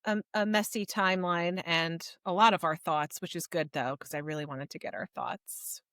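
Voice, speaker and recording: A woman with a crisp, bright voice that sits a little low hosts a casual book chat. Her delivery is informal and emphatic, prone to gushing. The recording is close and dry. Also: moderate pace, no room sound. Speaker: podcast host.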